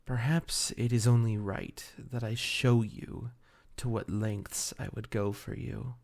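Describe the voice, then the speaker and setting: A man playing a devoted, ancient fey familiar spirit in an intimate roleplay audio, speaking formally and tenderly with measured, archaic diction. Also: mid-range voice, flat delivery, creaky, darkish tone. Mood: tender, loving.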